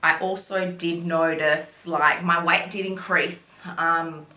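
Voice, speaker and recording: A woman's voice: on the low side, fairly even intonation, slow pace, pushed and bright. A young woman chatting casually to camera, full of "like" fillers and little apologies. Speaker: vlogger. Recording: roomy echo.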